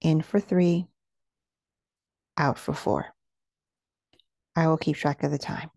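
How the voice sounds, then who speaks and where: A woman leading a guided meditation, speaking in a slow, soothing and measured way as she gives gentle instructions. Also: low voice, fairly even intonation, gravelly, no echo, studio quality.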